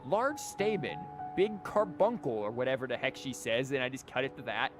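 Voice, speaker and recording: A man's voice: mid-range voice, animated, moderate pace. A man chatting casually and ramblingly as he streams a video game. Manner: rambling, conversational. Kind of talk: casual livestream chat and game commentary. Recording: game music behind the voice. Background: game music.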